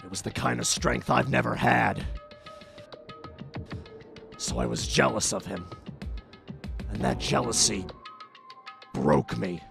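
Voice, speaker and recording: A man acts out a hot-tempered young tough weighed down by guilt. He speaks slowly and haltingly, with a hugely swinging pitch and a gravelly edge, in intense anime-dub style. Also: sing-song, heavily acted. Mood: anguished, remorseful. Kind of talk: dramatic anime-style fandub acting. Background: faint music behind the voice.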